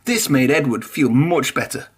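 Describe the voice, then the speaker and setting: A man narrating a children's story aloud in an expressive read-aloud style. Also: mid-range voice, normal speed, breathy edge. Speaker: storybook reader.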